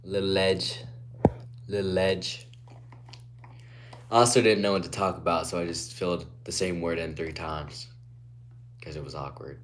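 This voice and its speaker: A young man from Guatemala on a casual live stream, reading comments aloud and answering in a laid-back way, often trailing off quietly, through a phone propped on a ledge. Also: deep voice, steady tone, a little slow, pauses often, a little hiss or hum. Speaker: livestreamer.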